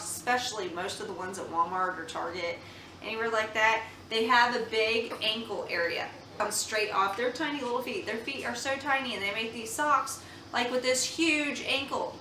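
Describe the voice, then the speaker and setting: Brief: young mom vlogger, bright, chatty, earnest, recorded a bit off-mic in an echoey room. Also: female voice, fairly high pitch, far from the mic, a little hiss or hum. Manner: chatty, earnest, with many ums and restarts. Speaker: mom vlogger reviewing baby products. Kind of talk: casual product review chat. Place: home room.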